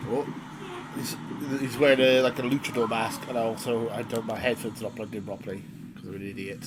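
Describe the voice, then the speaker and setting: A man's voice: on the low side, flat delivery, fairly quick, noisy background. A man hosting a casual watch-along reaction show, sounding nasal from a cold and chatting jokily with fillers. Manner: chatty, jokey, thinks aloud with fillers.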